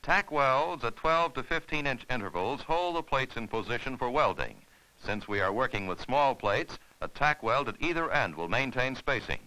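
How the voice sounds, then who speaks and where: A man's voice: medium pitch, expressive, moderate pace, bright, forceful tone, poor audio. A man narrating an instructional film in a formal, scripted, step-by-step way.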